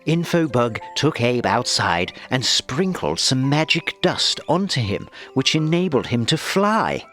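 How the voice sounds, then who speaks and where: Male children's narrator: measured pace, lively intonation, expressive storybook read with light music underneath. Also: mid-range voice.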